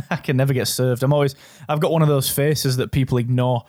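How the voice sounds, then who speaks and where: A man's voice: mid-range voice, fairly even intonation, brisk, darkish tone, dry recording, clean studio sound. A man hosting a podcast, encouraging his guest in an upbeat way. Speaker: podcast host. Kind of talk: podcast interview.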